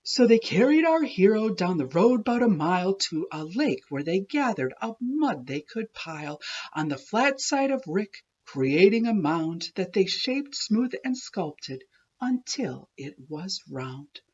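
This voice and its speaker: A woman reading a rhyming children's story aloud in an expressive, deliberate, sing-song way. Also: mid-range voice, slightly rough, lo-fi sound. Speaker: children's book reader. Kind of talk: animated storybook read-aloud of a rhyming tale.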